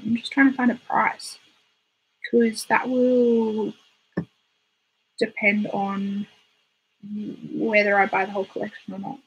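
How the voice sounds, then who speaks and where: A woman hosting a casual beauty livestream, hoarse from being sick, chatty and rambling with giggly asides. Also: mid-range voice, fairly bright tone, rough recording. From Australia. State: hoarse, recovering from illness. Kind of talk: casual livestream chat reading viewer comments. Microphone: desktop microphone.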